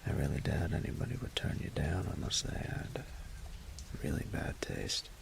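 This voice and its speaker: Man acting low, breathy, gravelly flirtatious roommate. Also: animated, moderate pace, in a whisper, full character voice. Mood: teasing, encouraging.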